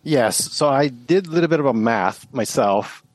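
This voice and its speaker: A man of about fifty with a Japanese accent, a native Japanese speaker talking as a podcast guest in a halting, reflective way. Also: medium pitch, lively intonation, dry recording. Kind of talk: casual interview conversation.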